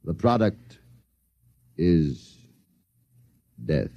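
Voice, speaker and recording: An actor playing a suave, coldly menacing male Martian invader in a 1950s radio drama, polite, condescending and measured. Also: low-pitched, big pitch swings, dry recording, muffled recording, heavily acted. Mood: smugly explaining.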